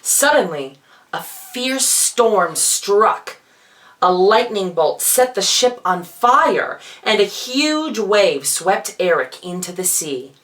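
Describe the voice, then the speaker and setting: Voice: mid-range voice, very animated, takes it slowly, fairly bright tone. A feminine-sounding storyteller reads a children's storybook theatrically, with playful asides. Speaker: storyteller, drag performer. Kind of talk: dramatic children's storybook reading.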